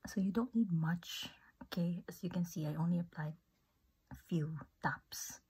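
A woman whispering softly through a makeup demo, gushing with amazement over each product. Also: fairly low pitch, moderate pace, slightly rough, rough recording. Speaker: beauty ASMR creator.

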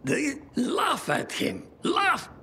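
Casting: proud old wounded game character, weak and halting, gravelly creak, strained sharp edge. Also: male voice, extremely high pitch, huge pitch swings, a little hiss or hum, exaggerated voice. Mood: pained, insistent. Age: older. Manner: halting, stammering speech broken by pauses. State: weak, breathless, coughing, in pain. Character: wounded old man, proud father, dying and stubborn. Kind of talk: dramatic video game acting.

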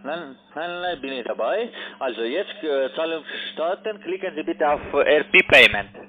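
A man with a foreign accent, a call center agent giving instructions in halting, broken phrasing. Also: a little high, animated, a little hiss or hum. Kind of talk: instructing a customer by phone. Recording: tinny narrowband phone line.

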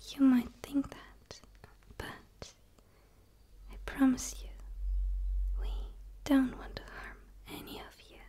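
A woman playing a curious, eager alien studying a human in a soft, soothing ASMR roleplay whisper, close to the microphone. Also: high-pitched. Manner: soft, slow, soothing, breathy delivery. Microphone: close microphone, speaking straight to camera.

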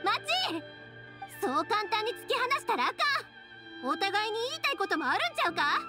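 A woman's voice: very high voice, big pitch swings, brisk, loud music behind the voice. A woman playing a frank, stubborn young fireworks maker with a Kansai accent in a Japanese anime game. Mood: pleading.

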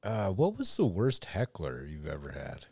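A man hosting a podcast, talking loosely and haltingly, with a lot of swearing. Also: low voice, huge pitch swings, creaky, a little mellow, husky. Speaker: podcast host. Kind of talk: casual chat.

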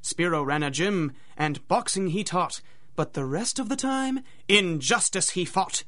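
A woman narrating a scripted rhymed adventure story, with a dramatic, singsong rhythm. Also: sits low.

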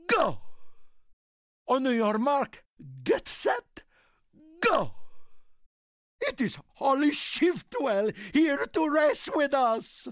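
A man voicing an excitable, warm-hearted Italian car in a video game, with an Italian accent and a theatrical manner. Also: extremely high pitch, no echo, clean studio sound, exaggerated voice. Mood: excited, urging. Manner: theatrical, refers to himself in third person. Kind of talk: animated video game character lines.

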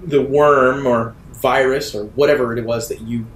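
A man voicing a stern, authoritarian female security captain in a tabletop game, with a measured, accusing manner. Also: mid-range voice, steady tone, roomy sound, a little hiss or hum, natural voice, low-quality recording. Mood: defiant, accusing.

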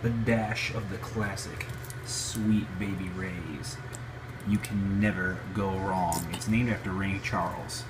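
A man's voice: low voice, fairly even intonation, slow. A man hosting an informal, jokey amateur cooking vlog, narrating casually from a home kitchen. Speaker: amateur cooking show host. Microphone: handheld phone or camera. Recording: noisy handheld camera recording.

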